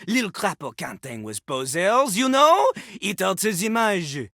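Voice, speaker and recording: A woman's voice: mid-range voice, big pitch swings, measured pace, a bit bright, dry recording, clean studio sound. A woman voicing a boastful, vulgar male French rapper toilet in a video game, with a French accent and a pompous, theatrical delivery that slips into rap. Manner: pompous, refers to himself in the third person.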